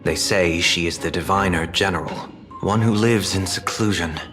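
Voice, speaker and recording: A man voicing a courteous, composed, inquisitive gentleman in a video game, polite and formal. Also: low-pitched, moderate pace, close to an everyday voice. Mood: polite, curious. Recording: music under the voice.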